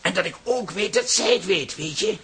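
An actor playing an anxious, fussing, well-meaning husband in a Dutch radio comedy drama. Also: male voice, sits high, expressive, moderate pace, a bit bright, a little hiss or hum. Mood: anxious insistence. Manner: hesitant, insistent, fussing.

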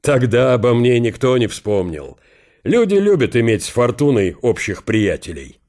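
An actor playing a gloomy old field marshal, dry, curt and ironic. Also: male voice, medium pitch, big pitch swings, normal speed, darkish tone. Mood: sardonic.

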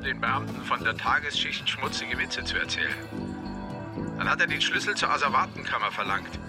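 A man playing a police informant in a radio drama, giving detailed reports in an animated, gravelly voice. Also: mid-range voice. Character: male police informant, conspiratorial and factual. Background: music.

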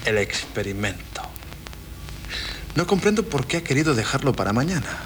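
A man's voice: mid-range voice, very animated, moderate pace, faint music behind, natural voice, poor audio. A man playing a flustered, stammering husband. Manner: hesitant, flustered, many "eh"s. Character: flustered young husband, nervous and pleading. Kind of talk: 1970s Spanish radio drama acting. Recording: old radio broadcast with faint hiss.

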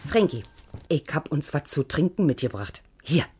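An actress playing an anxious, devoted old woman with a husky voice and a colloquial Berlin accent in a radio drama. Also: low-pitched, sing-song, normal speed, over loud music. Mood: uneasy, confiding. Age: older. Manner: colloquial, rambling, pleading. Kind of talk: naturalistic radio drama acting.

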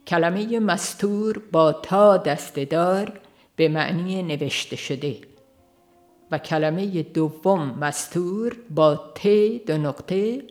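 An audiobook reader, giving a scripted narration of a religious book. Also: mid-range voice.

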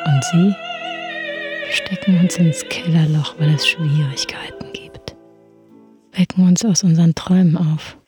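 A woman's voice: fairly low pitch, sing-song, a little slow, mellow, soft background music. An actress playing an aggrieved, theatrical woman in a radio play, declaiming a plaintive complaint. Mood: aggrieved lament. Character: aggrieved, theatrical woman lamenting unfair treatment.

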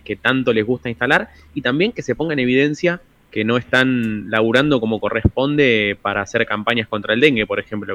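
A man's voice: mid-range voice, fairly bright tone, lo-fi sound. A man with a Buenos Aires accent hosts a radio interview conversationally, mixing comment with questions and repeating words as he formulates them. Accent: Buenos Aires Argentine Spanish. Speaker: radio show host.

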